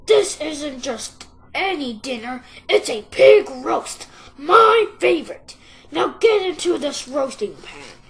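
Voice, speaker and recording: A woman voicing a hungry male fox in a storybook, gruff, greedy and gullible, with a growling, gloating manner. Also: high-pitched, very animated, slow pace, pitched above the performer's own voice, exaggerated voice.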